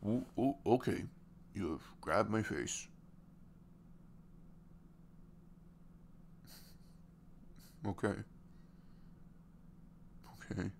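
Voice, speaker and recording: Sweet, wounded single dad played by a male actor for romantic ASMR audio: very deep, hesitant, intimate, with background noise. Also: vocal fry, exaggerated voice. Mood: flustered, reluctant. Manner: hesitant, soft-spoken, halting.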